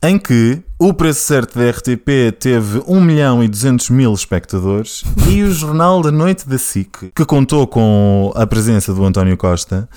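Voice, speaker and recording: Portuguese male co-host, clear smooth voice, steady delivery of figures. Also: mid-range voice, normal speed, few pauses. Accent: European Portuguese. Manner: steady, listing numbers with fillers. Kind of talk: casual radio-style chat, reading audience figures.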